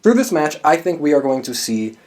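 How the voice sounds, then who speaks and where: A man with a voice pitched a little above average, talking like an opinionated fan hosting his own show. He speaks casually and swears freely as he lays out his views. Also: very animated, brisk, darkish tone. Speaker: host of a wrestling predictions show.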